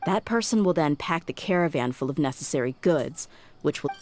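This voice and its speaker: An actress playing a tough, frank young desert mercenary in an anime game, blunt and self-assured. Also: female voice, low voice, lively intonation, fairly quick, darkish tone, soft background music. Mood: studious.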